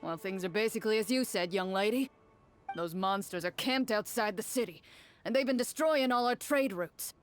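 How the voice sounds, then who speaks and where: An actor playing a surly, stingy city merchant in a video game, who talks in a gruff, dismissive way. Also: male voice, high voice, moderate pace, a bit bright, faint music behind.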